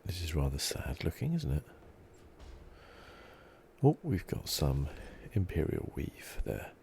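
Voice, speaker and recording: A man with an extremely deep, mellow voice and a creaky edge hosts an ASMR game playthrough. He muses softly and in a relaxed way as he comments on what he plays. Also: slow, slight background noise. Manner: soft, relaxed, musing. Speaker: gaming channel host.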